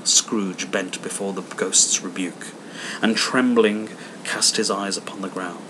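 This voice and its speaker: Male audiobook narrator, fairly low voice, literary storytelling, noticeable low rumbling noise. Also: steady tone, slow pace. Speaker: audiobook reader.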